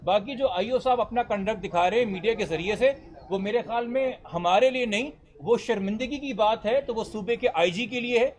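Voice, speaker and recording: A man's voice: sits high, brisk. A Pakistani man speaking as a lawyer to reporters, articulate, formal and emphatic, mixing English legal terms into his speech. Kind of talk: press statement answering reporters' questions.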